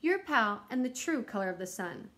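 A woman's voice: on the high side, big pitch swings, moderate pace, sharp tone. Woman as an indignant, boastful storybook crayon. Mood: insistent. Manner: insistent, self-important.

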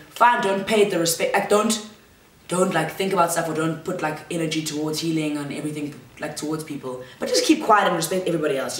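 A young woman tells a chatty, slangy storytime vlog, seated in a large living room and filmed by a camera across the room. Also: fairly low pitch. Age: young adult. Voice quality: loud voice. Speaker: vlogger. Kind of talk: casual storytime monologue. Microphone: fixed camera across the room. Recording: roomy echo from the mic's distance.

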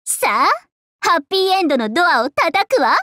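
A woman's voice: very high voice, animated, a little fast, sharp tone, no room sound, exaggerated voice. A woman voicing a vain, bubbly teenage dragon-girl idol princess in an anime game, with theatrical, singsong, boastful delivery. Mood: smug, playful. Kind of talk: anime game character voice lines.

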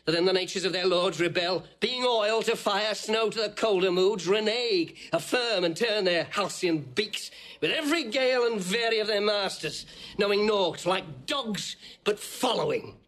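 A blunt old nobleman in a Shakespearean audio play, high-pitched for a man. He is a hot-tempered brawler who declaims his insults with scorn. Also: moderate pace, fairly bright tone. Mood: scornful. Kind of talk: Shakespearean audio drama acting.

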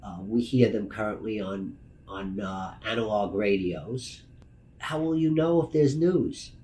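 New york guy in his 50s, low voice, talking straight and emphatic in an interview, bit of background noise. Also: measured pace, mellow. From Brooklyn, New York. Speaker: news photographer and editor. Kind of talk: documentary interview, personal account. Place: meeting room indoors.

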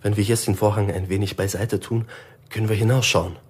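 An actor playing a cautious husband and father who keeps calm, in a radio drama. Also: lowish voice, a little fast, close to an everyday voice. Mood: hushed, wary. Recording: roomy, echoing radio play sound.

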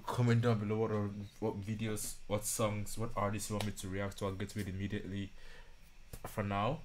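A man's voice: on the low side, monotone, brisk, noisy background. A man in his twenties giving casual, musing reaction commentary as a video host, often repeating phrases, recorded on a studio mic in a small home room. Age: 20s.

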